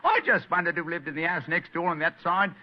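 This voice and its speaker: A man plays a working-class London plumber with a slightly high voice and a Cockney accent. He rambles along in a cheeky, deferential way, as in old radio drama. The recording is dull and lo-fi. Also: quick pace, close to an everyday voice. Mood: chatty, fawning. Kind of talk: old-time radio mystery acting.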